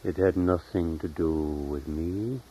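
A man reading his own poetry in a solemn, measured, deliberate way with long pauses, as a poet at a reading. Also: low-pitched, warm tone, slightly rough. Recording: dull lo-fi 1970s tape recording.

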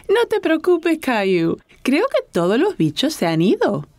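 Tender cartoon mother, high pitch, swooping intonation, slow, soothing. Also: huge pitch swings, a little mellow, no echo, heavily acted. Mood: reassuring. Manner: soothing, affectionate. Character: tender, comforting mother of small children. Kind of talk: dubbed children's cartoon acting.

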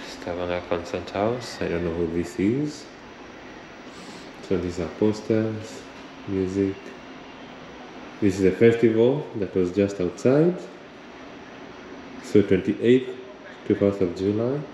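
A young man chatting slowly and thoughtfully as he films a walking travel vlog, first outdoors at a rainy harbor, then inside a concert hall. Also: lowish voice. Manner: slow, thoughtful, chatty, says he struggles with R and L. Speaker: travel vlogger. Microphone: camera held close at chest height. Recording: street noise behind the voice.

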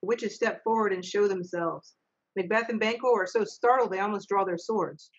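A middle-aged woman reads stage directions as a narrator. Her voice is husky and mid-pitched, on slightly dull video-call audio from a home office. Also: normal speed. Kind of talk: reading stage directions aloud.

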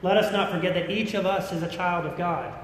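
A middle-aged American man delivers a sermon in an earnest, deliberate way, leaning on repeated phrases. His voice is on the high side for a man. It rings through a very echoey church hall with some low rumbling noise behind it. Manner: earnest, deliberate, emphatic repetition. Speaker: pastor. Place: large church interior.

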